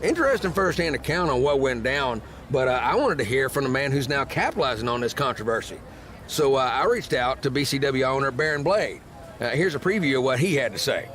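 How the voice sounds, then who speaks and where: An actor playing a conversational, opinionated wrestling news host, presenting scripted sports segments. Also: male voice, mid-range voice, lively intonation, fairly quick, fairly bright tone, slight background noise, lightly acted. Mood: informative.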